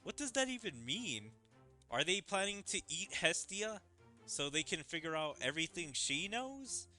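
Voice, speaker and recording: Bright-voiced man musing over a game. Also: sits high, big pitch swings, normal speed, soft background music. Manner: speculating, musing aloud. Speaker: streamer. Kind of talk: casual game commentary.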